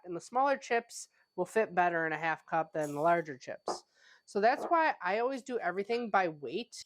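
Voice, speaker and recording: Woman pastry chef talking thru a kitchen tutorial, kinda stuffy nose from a cold, chatty, says like a lot. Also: mid-range voice. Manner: chatty, conversational, with frequent "like" fillers. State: stuffy, getting over a cold. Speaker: pastry chef and cooking channel host. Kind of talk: casual cooking tutorial. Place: home kitchen.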